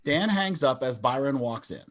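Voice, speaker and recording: A man's voice: mid-range voice, animated, normal speed. A man narrating stage directions in a scripted table read over a video call.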